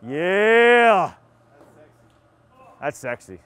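A man's voice: sits high, sing-song, extremely slow, fairly bright tone, smooth. A man with a Massachusetts accent coaching baseball catching drills in casual, encouraging, slangy bursts. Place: outdoor batting cage and bullpen.

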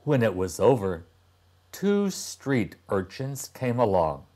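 A man in his sixties reading a storybook aloud to young children, deliberately and with dramatic pauses. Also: on the low side, sing-song, a little mellow. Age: older, about 60s. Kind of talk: expressive storybook narration.

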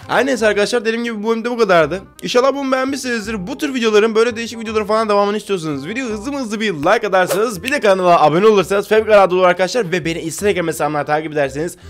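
A man's voice: sits high, very fast, few pauses, soft background music. A man in his twenties giving casual, slangy, jokey reaction commentary as a video host. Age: 20s. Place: small indoor room with brick-patterned wall.

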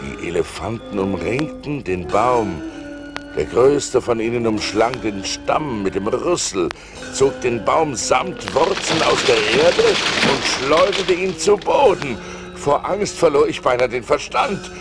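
An actor playing a seafarer who recounts perilous adventures theatrically and deliberately, in an audio play transferred from vinyl. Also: male voice, mid-range voice, big pitch swings, over loud music. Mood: awed, dramatic, then fearful, tense. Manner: theatrical, deliberate, swelling with suspense. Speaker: narrator. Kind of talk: dramatic storytelling in an audio play.

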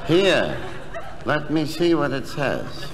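Gruff stingy husband, slow delivery, swooping pitch, 1960s comedy record, reverberant hall. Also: male voice, huge pitch swings, noisy background, exaggerated voice. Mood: deadpan. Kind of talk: 1960s comedy album sketch acting.

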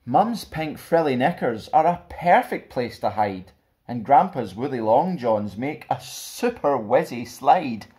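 A young man presenting and reading for children in an expressive storytime style, recorded indoors at about arm's length from the camera. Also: medium pitch, measured pace, a little hiss or hum. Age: young adult. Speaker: storytime host reading a picture book. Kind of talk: expressive children's read-aloud with friendly presenting. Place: small plain indoor room.

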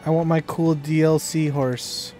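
Male co-op gamer, slightly high voice, casual chat, faint game music behind. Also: little pitch movement, unhurried, a little mellow. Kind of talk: casual chat while gaming.

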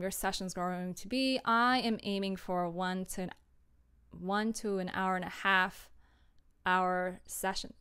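A woman's voice: medium pitch, fairly bright tone, clear, resonant tone. A young adult woman chatting casually and informally as she explains her work process, with a giggly manner. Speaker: streamer and audio drama producer.

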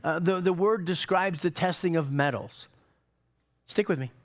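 A middle-aged man preaching a sermon on a church stage, animated, with many repeated words and restarts. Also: high-pitched, normal speed. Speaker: pastor.

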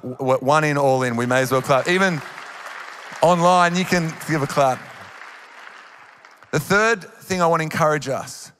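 A man's voice: mid-range voice, fairly bright tone. A man in his thirties with an Australian accent, preaching a sermon on a church stage into a handheld mic, earnest and encouraging. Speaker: worship leader preaching. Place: large church auditorium on stage. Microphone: handheld microphone. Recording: live hall reverb.

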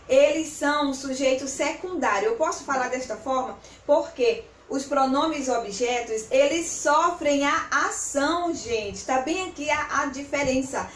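A young woman with a Brazilian accent teaches in a chatty, encouraging way. Her voice is high-pitched. The sound is echoey and picked up at a distance from the mic, like a camera in a bare room. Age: young adult. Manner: chatty, encouraging, animated with tag questions. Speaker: English teacher and video host. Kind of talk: informal whiteboard lesson to camera. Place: small bare-walled room indoors with whiteboard.

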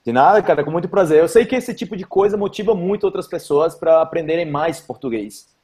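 A man's voice: fairly high pitch, expressive, brisk, a little mellow. A man in his thirties from Brazil, hosting a casual interview over a video call, chatty and upbeat with lots of filler words. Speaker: host. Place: small indoor room. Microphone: earphone headset mic.

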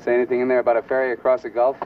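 A man's voice: mid-range voice, completely flat delivery, very fast, slight background noise, natural voice. Actor as a menacing gunman in a dull old film. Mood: curt, threatening. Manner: curt, commanding, sneering. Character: menacing, taunting gunman holding two men hostage. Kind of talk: 1950s film noir acting.

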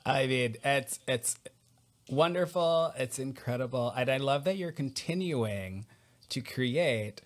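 A podcast host in his thirties talks over a video call while wearing headphones. His voice sits at a middle pitch. He sounds warm and encouraging, and he asks long, open questions. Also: lively intonation, slow. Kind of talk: conversational interview. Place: home room, on a video call. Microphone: over-ear headset.

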